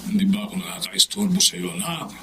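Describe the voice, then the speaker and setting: Ailing, affectionate Filipino dad, highish gravelly voice, swooping emotional delivery, noisy TV drama sound. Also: male voice, steady tone. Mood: proud, affectionate. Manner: tender, emotional, halting. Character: affectionate, ailing middle-aged father working overseas. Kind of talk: Filipino television drama acting.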